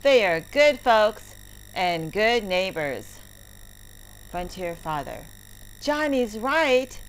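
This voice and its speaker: A woman reading a children's play script aloud as narrator, deliberately and word by word. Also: lowish voice, very animated. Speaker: story narrator for children's English lessons. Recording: clearly noisy recording with clicks.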